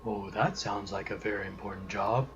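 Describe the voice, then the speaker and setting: A man's voice: lowish voice, steady tone, normal speed, muffled recording, background noise, lightly acted. A man voicing a nice, naive puppet who hosts and narrates a children's story show. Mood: eager.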